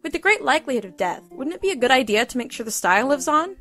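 An actress playing a poised, politely curious young huntress student who speaks formally and precisely in an audio drama. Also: female voice, high-pitched, very animated, quick pace, a bit bright, soft background music, heavily acted.

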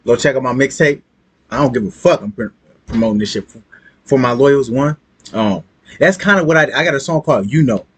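A man's voice: mid-range voice, brisk, breathy edge. A man giving casual reaction commentary as a music streamer, talking in slang and swearing freely. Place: small streaming room indoors.

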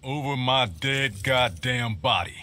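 A man's voice: mid-range voice, slow pace, very noisy, lightly acted. A man playing a tough, combative army commander with an American accent in a video game. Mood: defiant. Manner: blunt, combative. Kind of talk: video game character dialogue. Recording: game audio with loud ambient effects.

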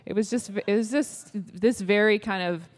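A woman's voice: mid-range voice, normal speed. A woman in her forties from Israel who speaks with an American accent, talking candidly on stage with many fillers. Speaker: ministry leader, guest. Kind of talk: onstage interview. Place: large auditorium stage. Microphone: handheld mic.

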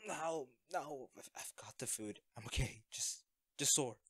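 A man's voice: medium pitch, unhurried, a bit bright, breathy edge, no room sound, studio quality. A man acting in an audio roleplay as an awkward, self-effacing secret superhero, talking hesitantly and evasively to a best friend. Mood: flustered, pained. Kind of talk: audio roleplay drama, one-sided conversation.

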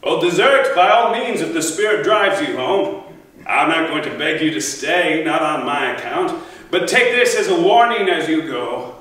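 A man playing an arrogant, contemptuous Greek king who issues haughty, deliberate threats in live epic storytelling. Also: fairly high pitch, lively intonation, a bit bright. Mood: haughty, threatening. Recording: echoey hall, distant from microphone.

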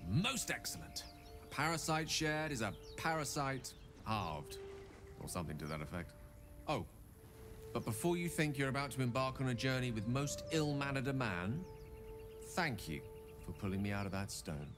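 A man's voice: mid-range voice, very animated, moderate pace, music in the background, close to an everyday voice. An actor playing a courteous, wordy middle-aged male wizard in a video game, speaking in formal, theatrical phrasing. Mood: eloquent.

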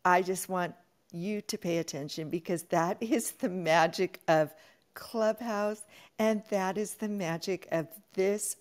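A woman moderating an online audio chat room, energetic and hype-building, laughing often as she rallies listeners. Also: fairly low pitch, measured pace. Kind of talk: enthusiastic Clubhouse hosting.

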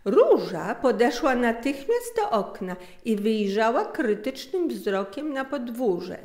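A middle-aged woman reading a story aloud as an expressive narrator. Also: fairly high pitch, slow. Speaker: author reading own story. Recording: echoey room.

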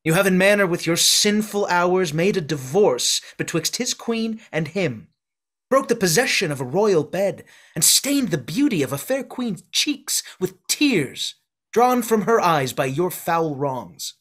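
A man in a video-call Shakespeare reading, playing an exiled duke who forcefully claims his inheritance. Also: sits high, big pitch swings, a little slow, heavily acted. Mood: condemning. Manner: forceful declamation. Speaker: actor. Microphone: video call webcam.

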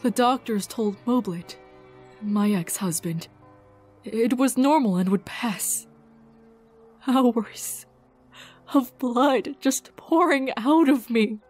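A sardonic, grief-worn woman scientist played by a woman in a fan audio drama. She speaks very slowly and haltingly in a slightly high voice, guarded and wry, with music behind her. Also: lively intonation, a little mellow, slightly rough, full character voice. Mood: pained confession.

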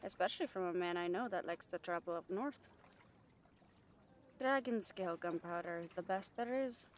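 A woman's voice: fairly low pitch, moderate pace, slight background noise, lightly acted. A woman playing a brash, cheeky catgirl pirate in an audio roleplay, bantering with salty sarcasm. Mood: teasing, excited. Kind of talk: roleplay audio drama acting.

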